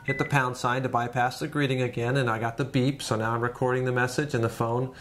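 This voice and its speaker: Man, software trainer, mid-pitched voice, casual conversational delivery, step-by-step tutorial. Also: little pitch movement, fast talker, slight background noise.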